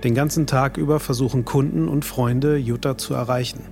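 Male true-crime narrator, medium pitch. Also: steady tone, moderate pace, creaky, a little mellow, faint music behind. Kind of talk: scripted true-crime narration, present tense.

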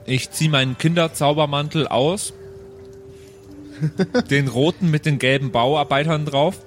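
A man's voice: on the high side, measured pace. A man playing an improvised tabletop game, chatting casually and explaining things in rambling detail. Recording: music under the voice. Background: music.

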